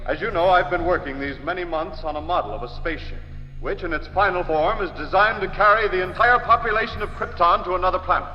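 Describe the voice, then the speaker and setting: An actor playing a grave, impassioned alien scientist who warns of doom in urgent, declamatory 1940s radio drama style. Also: male voice, high-pitched, quick pace, slight background noise, lightly acted. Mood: solemn, insistent. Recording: echoey hall on dull 1940s radio recording, music behind.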